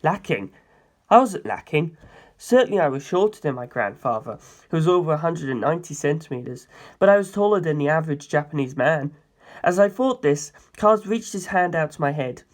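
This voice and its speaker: A man's voice: on the high side, expressive, brisk. A man narrating a dramatic story in the first person as an audiobook reader.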